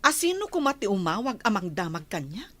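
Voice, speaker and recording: A woman's voice: mid-range voice, very animated, fairly quick, fairly bright tone. An actress playing a suspicious, demanding lady of the house in an Ilocano radio drama, questioning imperiously.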